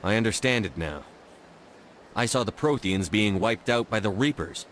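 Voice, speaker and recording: Direct human commander. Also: male voice, on the low side, normal speed, a little hiss or hum. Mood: steady. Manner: calm, direct. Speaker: military commander.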